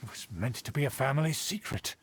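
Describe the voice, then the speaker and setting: An actor playing a haughty, condescending aristocrat in a video game, speaking in a formal, grandiose manner. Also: male voice, medium pitch, expressive, fast, slightly rough. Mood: pleased, formal. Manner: formal, grandiose, condescending, flustered when slipping. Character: pompous, haughty aristocrat of a faded noble clan.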